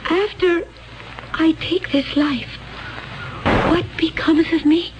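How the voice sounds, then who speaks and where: An actress playing a gentle, anguished young woman from a future world in a 1970s radio drama, hesitant and pleading, with formal diction. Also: very high-pitched, fairly even intonation, takes it slowly, poor audio. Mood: anguished, protesting.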